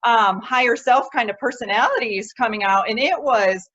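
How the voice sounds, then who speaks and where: A woman's voice: fairly high pitch, normal speed, fairly bright tone. Eager woman host, dull lo-fi sound. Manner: eager, affirming, shares own experience. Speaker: podcast host. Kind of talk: interview.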